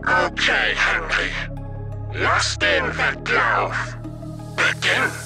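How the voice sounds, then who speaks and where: An actor playing a taunting anonymous hacker villain in an audio drama, with a drawn-out, menacing delivery. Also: male voice, over music.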